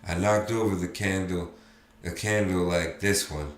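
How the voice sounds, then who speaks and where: A man voicing a drunk, grieving sergeant and father, slurring his words and breaking out in anguished, loud outbursts. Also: deep voice, takes it slowly. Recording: roomy echo, faint background noise.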